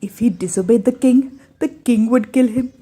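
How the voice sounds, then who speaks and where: A woman with an Indian accent telling a folk tale in expressive scripted narration. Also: a little high, moderate pace, husky. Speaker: storyteller.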